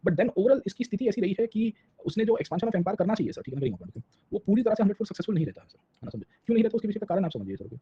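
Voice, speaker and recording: Indian male lecturer, rapid-fire and raspy, conversational classroom delivery full of check-in questions, on dull lo-fi audio. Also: high-pitched, sing-song, dark tone. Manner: conversational, peppered with rhetorical check-in questions and tag words; mixes Hindi and English. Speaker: history teacher.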